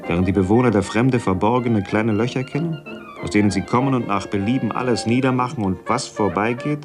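Man, fairly low pitch, clear brass music underneath. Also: a little fast.

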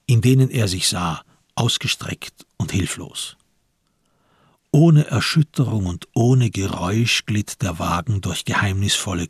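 A man narrating a literary radio drama in a measured, grave and deliberate manner. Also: low voice, gravelly, breathy edge, no room sound, studio quality.

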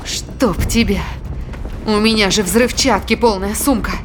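A woman's voice: medium pitch, unhurried, music in the background, natural voice. An actress playing a blunt, self-mocking female space crew scientist talking to herself in an audio drama. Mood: sudden realisation.

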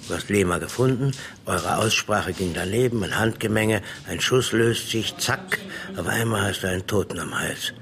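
An actor in a German radio play playing a shrewd, threatening police inspector who interrogates bluntly in slang. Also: male voice, lowish voice, moderate pace, a bit bright. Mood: pressing, accusing.

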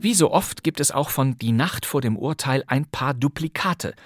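A man hosting a crime podcast, calmly announcing credits and background. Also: mid-range voice, moderate pace, soft background music. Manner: calm, informative announcing. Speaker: podcast host. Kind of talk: podcast narration.

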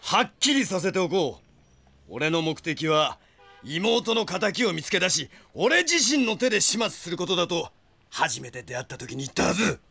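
Impetuous young avenger, highish forceful voice, hugely varied pitch, defiant, anime audio drama. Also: male voice, big pitch swings, normal speed, vocal fry, full character voice. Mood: defiant, scornful. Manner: brash, defiant, taunting. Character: hot-headed proud avenger, young adult man. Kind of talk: Japanese anime-style audio drama acting.

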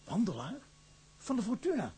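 An actor playing a formal, courteous clerk at a shipping office. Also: male voice, sits high, wildly swooping pitch, moderate pace, warm tone, breathy edge, heavily acted.

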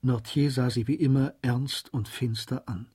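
An actor as the composed storyteller of a German radio drama, narrating a classic novel in a husky voice. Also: male voice, medium pitch, fairly even intonation, unhurried, mellow.

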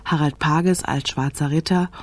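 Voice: medium pitch, steady tone, moderate pace, dry recording. Dry, close radio credits announcer.

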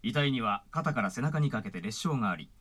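A man's voice: medium pitch, animated, a little fast, fairly bright tone. An actor playing a formal male news announcer reading scripted bulletins.

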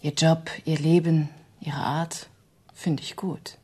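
An actress playing a sober, resolute clinic assistant in a radio drama, speaking in a firm, matter-of-fact way. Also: female voice, deep voice, little pitch movement, takes it slowly, mellow, husky, full character voice. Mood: sincere, subdued.

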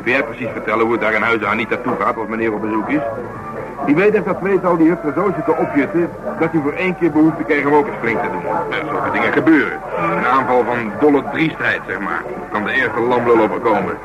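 An actor playing a burly, blunt, stubborn police detective in a radio play, talking gruffly and colloquially. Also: male voice, medium pitch, quick pace, muffled recording, loud music behind the voice, close to an everyday voice. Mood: speculating, blunt. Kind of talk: naturalistic radio play dialogue.

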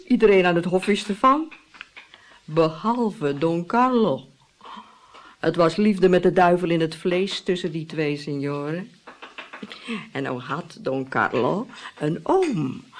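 A woman's voice: on the low side, darkish tone. Gossipy innkeeper woman, swooping voice. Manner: theatrical, relishing storytelling with exclamations. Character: gossipy, hearty, earthy Italian innkeeper woman telling scandal. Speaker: actress in a radio play. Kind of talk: Dutch radio drama acting.

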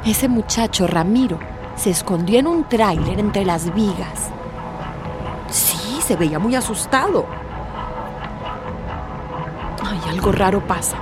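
A fussy, scandalized village gossip, played by an actress in a radio drama. She is an older woman with a middling pitch, nosy to the core. Music runs under her voice. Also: animated, a little slow, lightly acted. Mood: conspiratorial.

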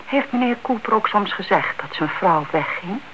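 An actress playing a nosy, suspicious older aunt, chatty and insistent, in a radio play. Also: female voice, medium pitch, expressive, moderate pace, lightly acted. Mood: scheming, curious. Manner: chatty, insistent, inquisitive, repeats herself. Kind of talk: Dutch radio play acting. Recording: dull, noisy old radio-play recording.

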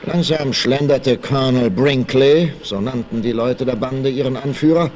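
A man's voice: mid-range voice, normal speed, a little mellow. A man narrating a 1960s radio play as a dramatic, theatrical, suspenseful storyteller. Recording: vinyl record transfer with faint noise.

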